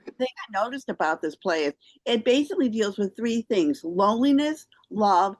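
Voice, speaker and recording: A woman hosts a theater review program, presenting write-ups and commenting on stage productions. Her voice sits at an ordinary pitch, in a dry recording with little room sound. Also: lively intonation, normal speed, no room sound. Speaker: theater review show host. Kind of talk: reading reviews and discussing theater.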